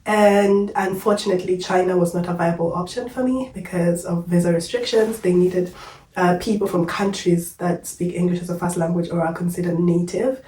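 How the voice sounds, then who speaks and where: A woman's voice: lowish voice, warm tone, echoey room, distant mic, a little hiss or hum. A young Kenyan woman vlogger, unwell and a little short of breath, telling her story in a candid, chatty way. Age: young adult. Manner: chatty, candid, uses fillers like "like" and "yo". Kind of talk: casual personal storytelling to camera.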